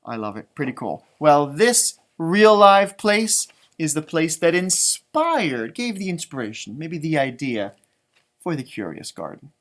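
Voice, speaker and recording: A man's voice: a little high, big pitch swings, fairly bright tone. Man chatting enthusiastically through a children's storytime. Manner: enthusiastic, chatty, drawn-out emphasis, talking directly to young listeners. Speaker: elementary school teacher reading aloud. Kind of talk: casual storytime read-aloud talk for children.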